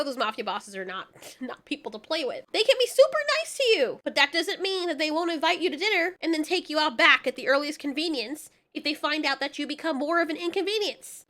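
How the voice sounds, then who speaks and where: A woman with a high, bright, forceful voice gives a casual comic film recap. She hosts with sarcasm, loose slang and plenty of swearing. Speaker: host of a movie review channel. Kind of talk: casual comedic movie recap commentary.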